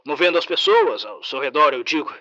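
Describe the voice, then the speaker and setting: A man's voice: fairly high pitch, expressive, fairly quick, sharp tone, no room sound, muffled recording. Man playing a patient interviewing researcher. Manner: patient, reasoning, persuasive. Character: calm male researcher interviewing a creature.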